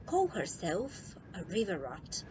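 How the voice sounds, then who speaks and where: A woman's voice: lowish voice, huge pitch swings, slow pace, lo-fi sound, noisy background, full character voice. A woman voicing an easygoing, reassuring father in a children's storybook. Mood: amused, reassuring. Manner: chuckling, reassuring.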